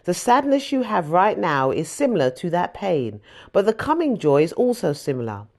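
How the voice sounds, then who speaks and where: Expressive woman reading scripture, lower-pitched and lively. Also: moderate pace. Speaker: audiobook reader.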